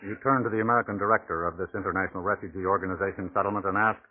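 A man narrating a 1940s radio documentary from a script in a measured, literary storytelling manner. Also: deep voice, steady tone. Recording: muffled phone-like vintage 1940s radio recording.

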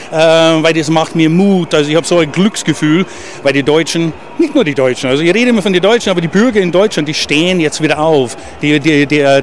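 A man interviewed outdoors at a street protest, talking in a halting way with many restarts. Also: fairly high pitch, slight background noise. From Germany. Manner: halting, stumbling, restarts words. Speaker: interviewed demonstrator. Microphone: handheld interviewer mic.

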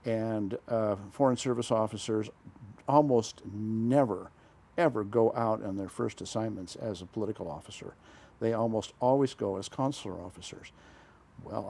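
Gravelly older man telling stories. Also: fairly low pitch, a little mellow. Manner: rambling, halting, frequent uh and self-corrections, chuckles. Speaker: guest, retired diplomat. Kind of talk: conversational storytelling interview. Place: small dark studio set, indoors. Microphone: lapel mic.

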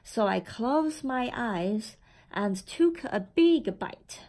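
A woman reading a children's picture book aloud in a deliberate, expressive storytelling manner. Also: fairly high pitch. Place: indoor room with framed certificates on the wall.

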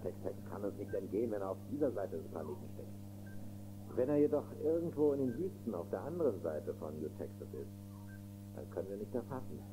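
An actor playing a businesslike spaceport colleague on a screen call in a radio play. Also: male voice, fairly low pitch, sing-song, a little fast. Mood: matter-of-fact. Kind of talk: German radio play acting. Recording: quiet voice under loud hiss.

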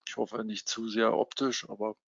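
A man in his fifties from Berlin, giving a casual live webinar as a trading software coach, with many fillers. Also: on the low side, little pitch movement, normal speed, creaky, dull audio. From Germany (Berlin). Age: 50s. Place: home office.

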